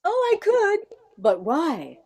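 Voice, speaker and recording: A woman's voice: extremely high pitch, huge pitch swings, slow pace, darkish tone, full character voice. A woman playing a refined, curious professor with a theatrical, arch manner in a comic radio play. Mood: amused, curious. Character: refined, curious woman professor who scorns mundane cheese.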